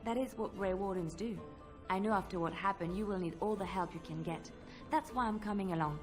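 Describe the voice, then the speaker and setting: A devout young woman with a gentle, earnest manner, voiced by an actress for a fantasy game. Her pitch sits in the middle range and she sounds pleading. Music is clearly heard behind her. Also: a little fast, natural voice.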